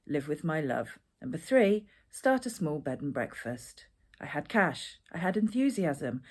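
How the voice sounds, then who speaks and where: A woman's voice: low voice, a little mellow. A woman reading a personal narrative aloud as its author. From Edinburgh, Scotland. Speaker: author reading their own essay.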